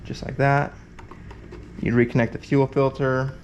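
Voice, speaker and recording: A man's voice: medium pitch, flat delivery, unhurried, a little mellow, muffled recording, a little hiss or hum. A man giving a casual hands-on repair tutorial, rambling with fillers and thinking aloud as he works. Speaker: competitor explaining a repair event.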